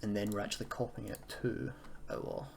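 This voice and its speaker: A young man with a fairly low voice walks through a screen-recorded tutorial. He is informal and hesitant, correcting himself as he explains. There is noticeable noise and keyboard typing behind him. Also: a little fast, vocal fry, darkish tone. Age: young adult. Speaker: tutorial presenter, university student. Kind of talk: casual screen-recorded coding tutorial, unscripted. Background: computer keyboard typing and noise.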